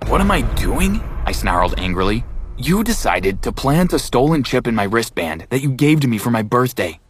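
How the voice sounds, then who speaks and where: A man's voice: fairly low pitch, expressive, fairly quick, noisy background, lightly acted. An actor playing a bitter, wounded young man who narrates his own thriller dramatically in the first person. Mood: angry, accusing.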